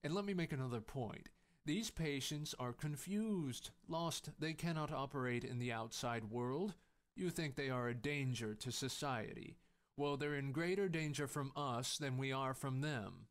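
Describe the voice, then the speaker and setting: A man's voice: mid-range voice, measured pace, lightly acted. A man playing a smug, self-promoting psychologist on a talk show, pompous and pleading, in a fan-dub drama. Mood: insistent.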